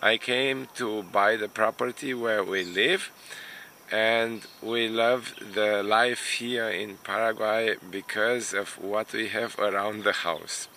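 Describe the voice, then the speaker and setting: Slow, gravelly Romanian-born man. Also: lowish voice, steady tone, bright, forceful tone, slight background noise. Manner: unhurried, hesitant, many fillers. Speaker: immigration consultant vlogger. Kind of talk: casual talk to camera.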